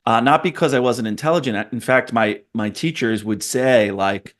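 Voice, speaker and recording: A man's voice: medium pitch, normal speed. A man in his forties hosting a podcast, talking in a thoughtful, rambling, storytelling way. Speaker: podcast host. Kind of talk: casual conversation.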